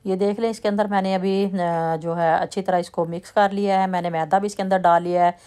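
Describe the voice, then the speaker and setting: A woman with a slight lilt, chatting in a relaxed way as she walks through a home baking recipe step by step. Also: lowish voice, fairly quick. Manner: relaxed, chatty, trails off softly at sentence ends. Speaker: home cooking vlogger. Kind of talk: casual step-by-step baking talk.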